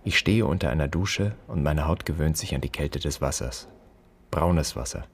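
Very deep, husky, bitter grieving son. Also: male voice, moderate pace, exaggerated voice. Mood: brooding. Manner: brooding, accusing. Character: bitter grieving adult son addressing his dead father. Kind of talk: literary radio drama monologue.

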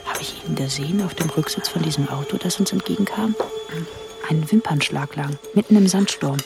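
A woman's voice: low voice, fairly quick, gravelly, over music. An actress playing an observant, pensive young German woman in a radio drama, moving between dialogue and dramatic narration.

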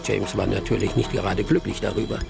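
An actor playing a village hunter who tells his story gravely and in measured phrases, in a radio play. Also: fairly low pitch, steady tone. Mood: somber, measured. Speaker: narrator. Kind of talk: dramatic first-person radio play narration. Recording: music playing behind the voice.

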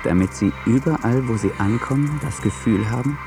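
An actor playing a detached choral narrator in a radio drama, reading scripted lines. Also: male voice, medium pitch, moderate pace, close to an everyday voice. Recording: music behind the voice.

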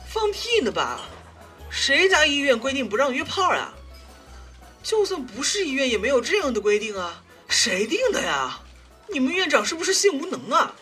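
Woman voicing cheeky, animated young man. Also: high-pitched, normal speed, faint music behind, exaggerated voice. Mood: nosy, brash. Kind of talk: audio drama dialogue.

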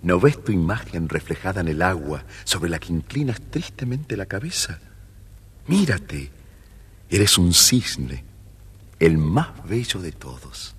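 Deep, expressive male storyteller. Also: slow, husky. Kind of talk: expressive scripted children's storybook narration.

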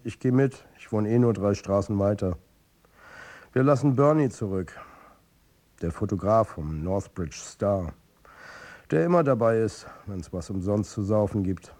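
A man's voice: lowish voice, darkish tone, no echo. An actor playing a middle-aged, world-weary football coach who tells his own story candidly in colloquial radio drama narration. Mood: reflective. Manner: confiding, colloquial. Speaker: narrator and lead character. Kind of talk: intimate first-person radio drama narration.

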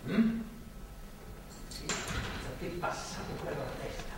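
An actor playing a stern, moralizing religious zealot who holds a woman captive, in a deliberate, authoritarian stage delivery. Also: close to an everyday voice. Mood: stern, accusing. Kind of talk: live stage drama acting. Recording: distant, noisy, echoey theatre hall recording.

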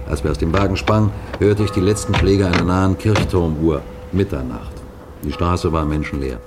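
Deep-voiced, authoritative police detective played by a man. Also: noisy background, lightly acted. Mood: tense narration. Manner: measured, deliberate, emphatic storytelling. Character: authoritative male police detective recounting his case. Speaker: narrator and lead actor. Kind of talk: vintage German radio crime drama narration.

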